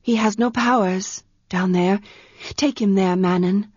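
A woman voicing a young priestess girl who gives sharp, commanding orders in an audiobook. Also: medium pitch, fairly even intonation, unhurried, slightly rough, no echo, muffled recording. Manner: commanding, scoffing. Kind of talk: audiobook character voice.

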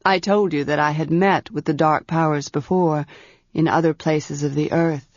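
A woman voicing a scarred dragon lord held captive, a calm, grave man who speaks gently and with measured reluctance in a storybook reading. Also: low-pitched, no echo, lo-fi sound, voice pushed down, close to an everyday voice.

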